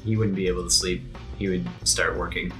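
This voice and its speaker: A man's voice: deep voice, level tone, brisk, a bit bright, soft background music. A man chatting casually as a player in a tabletop game. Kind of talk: casual chat.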